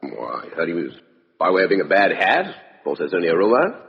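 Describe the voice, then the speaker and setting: A man's voice: deep voice, wildly swooping pitch, fairly quick, vocal fry, heavily acted. An actor playing a breezy, evasive British ex-army major and con man, with clipped upper-class slang, in a 1950s radio drama. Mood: casual, evasive. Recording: muffled, reverberant vintage radio recording.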